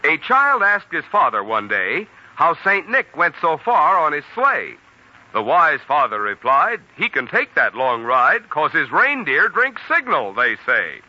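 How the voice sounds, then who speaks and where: A man's voice: fairly high pitch, huge pitch swings, measured pace, sharp tone. An American man announcing for a sponsor on 1940s radio in a polished, jovial, projected way. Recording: dull 1940s radio recording, music behind.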